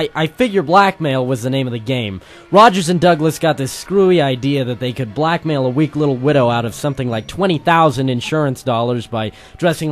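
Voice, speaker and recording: A man's voice: mid-range voice, close to an everyday voice. A man playing a weary, wry private detective, narrating and talking tersely in a noir radio drama. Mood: wry, matter-of-fact. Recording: music playing behind the voice.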